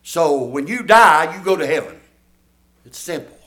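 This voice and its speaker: Older preacher in an echoey church. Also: male voice, a little high, animated, a little slow, fairly bright tone. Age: 70s. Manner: folksy, emphatic preaching with audience call-and-response. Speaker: pastor. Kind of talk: sermon.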